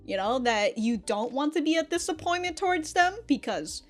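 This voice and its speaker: A woman with a fairly high voice hosts a casual reaction review over background music. She talks in loose slang and swears freely. Her manner is mock-indignant and quick to laugh. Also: sing-song. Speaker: reaction channel host. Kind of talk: casual episode review commentary.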